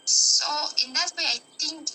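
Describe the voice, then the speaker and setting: Slow, bright-voiced hesitant woman. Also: high voice, a little hiss or hum, low-quality recording. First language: Dusun. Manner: hesitant, searching for words, restarts. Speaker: interviewee. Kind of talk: answering interview questions.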